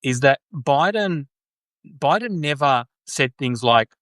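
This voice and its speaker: An Australian man hosting a podcast conversation. His voice sits at an ordinary pitch and comes across dry and close. He talks loosely, often restarting and agreeing along the way. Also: animated, slow, a bit bright, no echo. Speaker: podcast host. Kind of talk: casual political chat.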